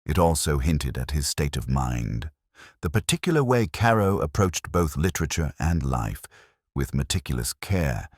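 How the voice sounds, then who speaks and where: A man narrating a scripted first-person detective story in a measured, literary, reflective manner. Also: a bass voice, very animated, breathy edge, dry recording, studio quality.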